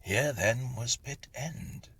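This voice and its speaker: A man reading a Victorian ghost story as scripted narration, in a husky, breathy, hushed and dramatic storytelling manner. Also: medium pitch, slow.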